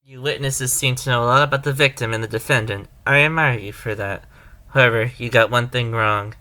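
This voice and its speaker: A man's voice: medium pitch, brisk, pushed and bright, close to an everyday voice. A man playing an earnest, determined defense attorney in a courtroom audio drama, arguing emphatically.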